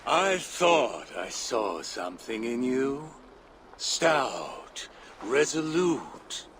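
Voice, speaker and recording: An actor playing a sinister, commanding fire-giant sorcerer in a fantasy game, with a drawn-out, coaxing menace. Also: male voice, medium pitch, big pitch swings, fairly bright tone, slight background noise, full character voice. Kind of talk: villainous game cutscene acting.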